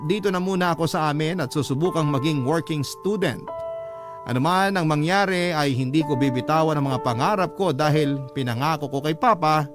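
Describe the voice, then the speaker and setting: A man with a Filipino accent reading a listener's letter as an earnest, measured radio storyteller. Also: a little high. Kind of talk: dramatic scripted narration. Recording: prominent music bed under the voice. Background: music.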